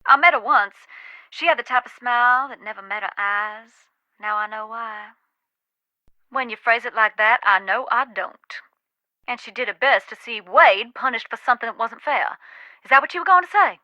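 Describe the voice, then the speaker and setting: A woman's voice: fairly high pitch, moderate pace, pushed and bright, no echo, highs cut off. An actress playing a wry, haunted Southern woman who knows about ghosts, with a folksy, dramatic, teasing manner in a video game. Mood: regretful, wry. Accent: American South.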